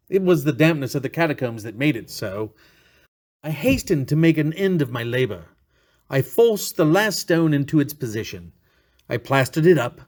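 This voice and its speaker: A man narrating a gothic horror story as a dramatic reading, with theatrical, deliberate phrasing. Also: on the high side, darkish tone.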